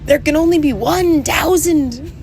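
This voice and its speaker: High, rowdy pixie war cries. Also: a little slow, slight background noise. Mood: defiant battle cries. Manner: yelling war cries in dialect. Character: rowdy horde of tiny male pixie warriors.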